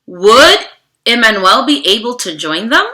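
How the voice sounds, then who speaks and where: Lady teacher reading to kids, slow n expressive, bright voice, bit echoey room. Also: a little high. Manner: expressive, theatrical, addresses listeners directly. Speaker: teacher reading aloud to students. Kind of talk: children's read-aloud with animated greeting. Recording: roomy, echoing room sound.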